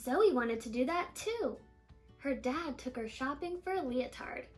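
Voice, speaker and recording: A woman with a high voice reads a children's picture book aloud. Her delivery is animated, drawing out important words for effect. Faint music plays along with the reading. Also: unhurried. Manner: animated storytelling, stressing and stretching key words. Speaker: storybook reader.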